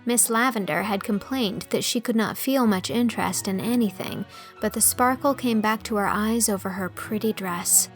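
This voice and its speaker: A woman's voice: medium pitch, moderate pace. A woman reading a classic novel as scripted storybook narration. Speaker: audiobook narrator. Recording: music under the voice. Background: music.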